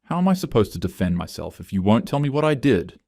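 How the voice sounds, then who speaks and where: A man's voice: mid-range voice, big pitch swings, quick pace, vocal fry, a little mellow, no room sound, clean studio sound, voice pushed up. A man voicing a desperate, bewildered male office worker accused in a narrated story, pleading and agitated.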